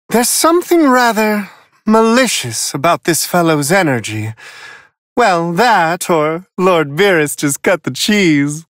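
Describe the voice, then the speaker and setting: A man's voice: high-pitched, a bit bright, no room sound, studio quality, exaggerated voice. A man voicing a refined, unflappable, amused celestial attendant in a video game, commentating on fights with prim, courtly, theatrical precision. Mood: curious, wry.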